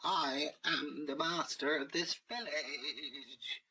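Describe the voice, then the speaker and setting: A man's voice: steady tone, extremely slow, bright, forceful tone, a little hiss or hum, exaggerated voice, low-quality recording. A man voicing a weary, harried young village headman, complaining in a raised, exasperated voice. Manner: exasperated, complaining, higher-pitched.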